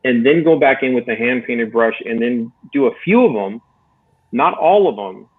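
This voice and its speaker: A man's voice comes through a thin, phone-like channel at a middling pitch. He talks casually and encouragingly, explaining things step by step as a judge giving feedback. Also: expressive, moderate pace, husky. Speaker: contest judge. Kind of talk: casual spoken critique and advice.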